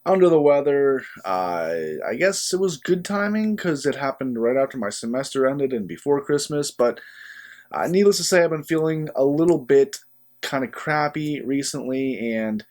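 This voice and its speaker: A young adult man speaks in a relaxed, casual vlog style, his voice a little stuffy and sniffly from being sick. He rambles easily to his viewers, pausing often with small fillers as he chats. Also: mid-range voice. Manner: chatty and easygoing, many filler pauses. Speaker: book channel host. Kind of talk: casual vlog talk to camera.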